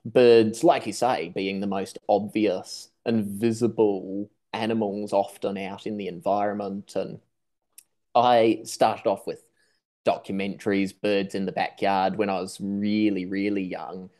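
A young man from New Zealand, raised in Tasmania, giving articulate, measured answers as a podcast guest over a video call. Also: on the low side, level tone, dark tone. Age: young adult. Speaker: guest, seabird researcher. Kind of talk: conversational interview answers. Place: room at home, video call.

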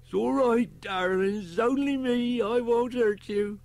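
A man's voice: very high voice, measured pace, vocal fry, a little mellow, no echo, voice pushed up, exaggerated voice. An actor voicing a tipsy man fondly coaxing his pet pigeons in an audiobook, his voice lifted. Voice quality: voice lifted far above the reader's own. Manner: coaxing, affectionate.

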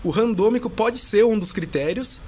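A man in his thirties, a customs tax auditor, talking fast as a guest in a casual podcast interview, with many restarts and fillers. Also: high-pitched, breathy edge. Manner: fast, animated talk full of restarts and fillers.

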